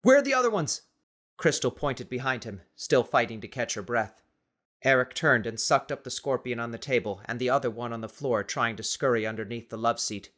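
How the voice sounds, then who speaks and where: A man's voice: mid-range voice, steady tone, brisk, bright, forceful tone. A man reading scripted fiction as a dramatic audiobook narrator.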